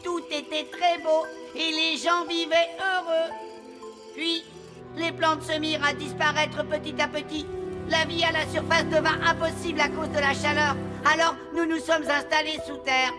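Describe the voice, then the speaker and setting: A woman plays a tiny alien guide with an extremely high voice. The creature is polite and eager, explaining things for a children's cartoon, with music playing behind. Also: level tone, normal speed, natural voice. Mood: explanatory. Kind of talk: animated children's cartoon dub. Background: loud music.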